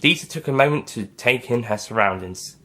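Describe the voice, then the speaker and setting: A man's voice: mid-range voice, animated, brisk, a bit bright. A man reading a scripted science-fiction adventure story as its author and narrator.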